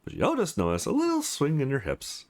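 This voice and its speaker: A man hosting a let's play, reading game script aloud in an expressive, sing-song way and adding brief reactions. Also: a little high, fairly quick. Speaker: let's play host reading visual novel dialogue aloud.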